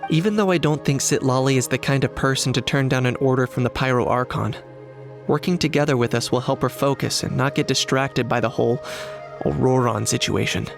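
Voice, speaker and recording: Casting: composed, strategic young male warrior for a game voiced by an actor, measured and serious, faint music behind. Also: medium pitch, vocal fry, close to an everyday voice. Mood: matter-of-fact, composed. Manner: measured, matter-of-fact, serious. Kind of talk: video game voice acting.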